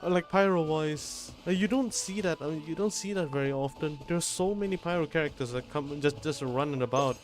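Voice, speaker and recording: A man doing casual live gameplay commentary as a streamer, halting and often repeating how his phrases start. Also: on the high side. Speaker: game streamer. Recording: faint game music behind.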